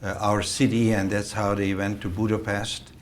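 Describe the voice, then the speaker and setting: A man in his seventies from Hungary, a native Hungarian speaker, telling his life story in a slow, halting way with many fillers and restarts. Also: fairly low pitch, level tone, slight background noise. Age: 70s. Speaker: interview guest, vascular surgeon. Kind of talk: recorded oral-history interview.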